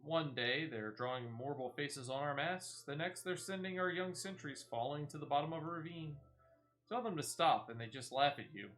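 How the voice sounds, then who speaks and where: A man's voice: fairly high pitch, normal speed, fairly bright tone, close to an everyday voice. A man doing a huffy, fussy villager. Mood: exasperated. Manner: exasperated, huffy. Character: indignant villager, fussy and disapproving of tricksters.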